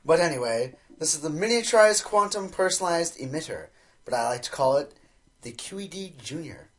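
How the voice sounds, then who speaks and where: An actor playing an excitable, self-important pony inventor who fancies being a spy, in a radio play with a theatrical delivery. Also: mid-range voice, a little fast, close to an everyday voice. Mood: boastful, evasive. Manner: theatrical, hesitant fillers amid boasting. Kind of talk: amateur radio play acting.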